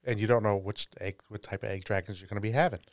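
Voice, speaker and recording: A man with a fairly low voice chats on a gaming podcast. He speaks casually as one of the co-hosts. The sound is dry, without noticeable echo. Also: steady tone, quick pace. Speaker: podcast co-host. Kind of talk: casual tabletop gaming discussion.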